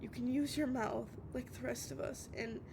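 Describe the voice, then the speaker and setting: A woman playing a drunk, heartbroken, obsessive young lover in an intimate audio roleplay, tearful and halting, stammering and repeating herself. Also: fairly high pitch, fairly even intonation, gravelly, noisy background. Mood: weary, resolute.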